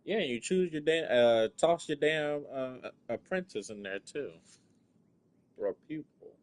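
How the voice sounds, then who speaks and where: A man's voice: fairly high pitch, lively intonation, measured pace. A man giving casual reaction commentary on a game in an offhand way, with some swearing. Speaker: gaming streamer.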